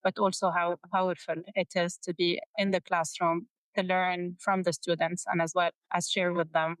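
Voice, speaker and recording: A woman's voice: fairly low pitch, little pitch movement, moderate pace, no room sound, rough recording. A woman in her thirties with a Lebanese accent, speaking earnestly and articulately as an interview guest about her work. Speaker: guest, nonprofit education executive. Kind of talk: interview answer recounting career.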